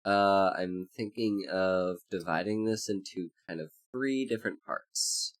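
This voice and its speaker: A VTuber streamer in a casual livestream chat, congested and hoarse, rambling with many fillers while greeting chat by name. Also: deep voice, a little slow.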